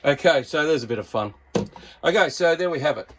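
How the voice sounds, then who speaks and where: A man's voice: on the high side, expressive, a little fast, slight background noise. A man with an Australian accent gives a casual, hands-on radio gear review as a hobbyist, talking in a laid-back, colloquial, blokey way.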